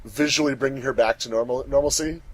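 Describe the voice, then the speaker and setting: A man's voice: mid-range voice, fast, rough recording. A man giving casual, enthusiastic group commentary while watching an episode, explaining details as he goes. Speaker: fandub cast member on a commentary track.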